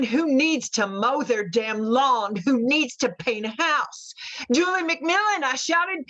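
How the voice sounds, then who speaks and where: A woman playing a haunted Southern mother in a radio drama monologue, telling her confession in a folksy, intense, deliberate way. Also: high voice, sharp tone, dull audio, full character voice. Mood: fierce, preaching.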